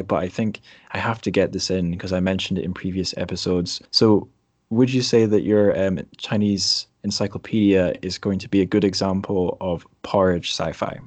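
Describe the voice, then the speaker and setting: A man's voice: low voice, dry recording. A man hosting a podcast, chatting casually with a guest in an interview. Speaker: podcast host.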